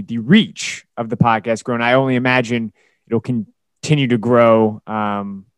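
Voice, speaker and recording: Tired, slightly hoarse male podcast host. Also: mid-range voice, no room sound. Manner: rambling, many fillers and restarts. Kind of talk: casual solo podcast talk.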